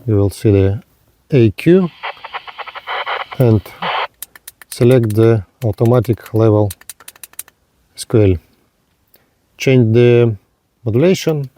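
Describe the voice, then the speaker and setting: A man narrating a hands-on product demonstration in halting, simple step-by-step phrases. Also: mid-range voice, dark tone, dry recording. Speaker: product reviewer.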